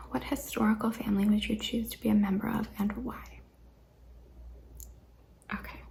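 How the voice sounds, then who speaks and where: A young adult woman hosting an ASMR questionnaire video, speaking mostly in a hesitant whisper with frequent ums and soft laughs. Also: mid-range voice, vocal fry, a little hiss or hum, poor audio. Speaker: ASMR channel host.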